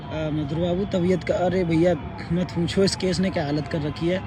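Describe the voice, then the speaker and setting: Hoarse high-voiced man, throat clearing. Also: steady tone, background noise. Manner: clears throat often, halting with fillers. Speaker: live stream host. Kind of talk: casual live update. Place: sitting outdoors near a court.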